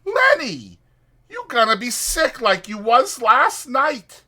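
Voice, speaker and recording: A man voicing a small, quick, nervous man who scolds sharply in rough country grammar, for a dramatized audiobook. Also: very high voice, wildly swooping pitch, pitched above the performer's own voice, full character voice. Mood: alarmed scolding. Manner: sharp, exasperated, rural uneducated drawling grammar.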